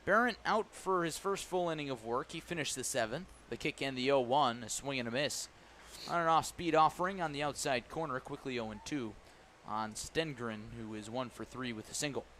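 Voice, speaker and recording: A man calling a baseball game live on the radio as the play-by-play announcer, animated on big plays and playful with his phrasing. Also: medium pitch, a little hiss or hum. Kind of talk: live radio sports commentary. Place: live at a ballpark broadcast position.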